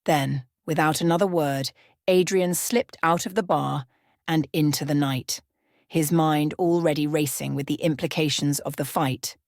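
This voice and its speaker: A woman's voice: low-pitched, fairly even intonation, measured pace, a little mellow, no room sound, clean studio sound. A woman reading a scripted bedtime story as a storyteller in an ASMR style.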